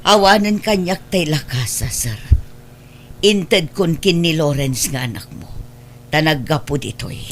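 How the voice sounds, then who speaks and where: A woman's voice: deep voice, a little slow, a little hiss or hum. A woman playing a poor, anxious mother and household helper in a family drama, submissive and pleading. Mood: meek, defensive. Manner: submissive, plaintive. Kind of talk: Ilocano radio-style family drama acting.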